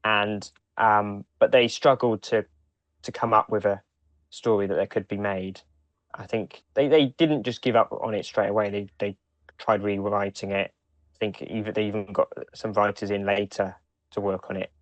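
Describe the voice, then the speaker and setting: A man co-hosting a podcast in casual discussion, speaking in a halting way with frequent restarts. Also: lowish voice, fairly even intonation, no echo, lo-fi sound. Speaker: podcast co-host.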